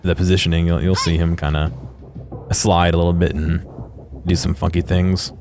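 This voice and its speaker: Low-voiced man giving casual game commentary. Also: level tone, normal speed. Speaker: host of a game exploration video. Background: music behind the voice.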